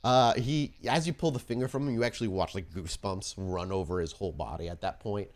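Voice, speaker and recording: A man's voice: sits low, fairly quick. A man working as game master, improvising descriptive, informal narration for a tabletop roleplaying game.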